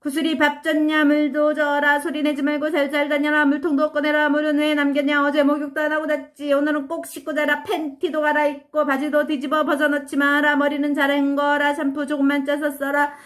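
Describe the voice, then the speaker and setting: A woman's voice: high-pitched, monotone, pitched above the performer's own voice, lightly acted. A woman voicing a strict, nagging father in a storybook reading, firing off one scolding order after another. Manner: rapid-fire scolding commands.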